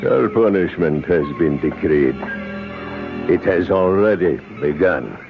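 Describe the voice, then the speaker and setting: An actor playing a grieving native man in a radio drama, outwardly polite and secretly vengeful, speaking in slow, deliberate, formal phrases. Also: sits low, lively intonation, gravelly, over music, exaggerated voice. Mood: bitter, menacing. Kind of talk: 1970s radio drama acting. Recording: noisy, dull old radio broadcast.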